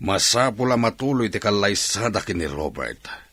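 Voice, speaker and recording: Deep, husky domineering Ilocano father. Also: expressive, moderate pace, fairly bright tone. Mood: angry, demanding. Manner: stern, scolding. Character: angry, domineering father, scheming over his daughter's marriage. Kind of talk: Filipino radio drama acting.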